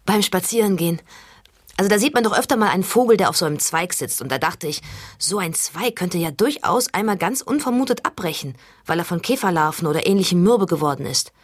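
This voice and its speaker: A woman's voice: fairly low pitch, lively intonation, fast talker, no echo, clean studio sound. A woman playing an earnest, naive, stubborn young interviewee in a satirical radio sketch, talking colloquially. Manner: earnest, colloquial, literal-minded.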